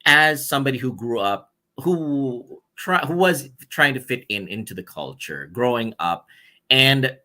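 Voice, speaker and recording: A man's voice: medium pitch, expressive, measured pace, pushed and bright. A man co-hosting a casual interview show, talking conversationally. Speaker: show co-host.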